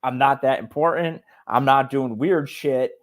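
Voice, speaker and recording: Man, podcast co-host, blunt, profane, fired-up rant, mid-pitched voice. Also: a little slow. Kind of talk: casual podcast chat.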